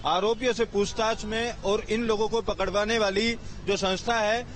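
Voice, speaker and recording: A man reporting news from an outdoor location in a halting, unscripted way. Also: sits high, flat delivery, fairly bright tone. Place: outdoors at an urban street location. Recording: faint outdoor street and traffic noise.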